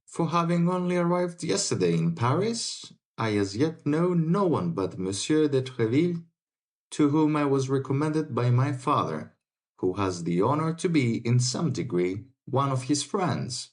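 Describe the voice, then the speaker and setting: An actor playing a brave, respectful young adventurer, earnest and formal, in a dramatic audiobook. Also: male voice, medium pitch, sing-song, a little slow. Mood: earnest, polite.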